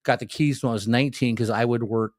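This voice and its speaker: A man's voice: medium pitch, fairly even intonation, quick pace, dry recording, clean studio sound. Sixty-something man telling old stories. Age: 60s. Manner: rambling, repeats words when starting. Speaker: guest. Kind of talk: casual chat, storytelling.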